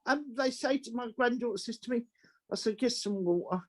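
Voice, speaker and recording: A woman's voice: a little high, fairly quick, background noise. An older woman with a London accent, talking bluntly in a group video call. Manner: blunt, outspoken.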